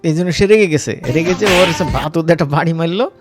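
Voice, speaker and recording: A man with a Bangladeshi accent giving a casual, informal software tutorial as an instructor, mixing in English words. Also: on the high side, fast, loud music behind the voice.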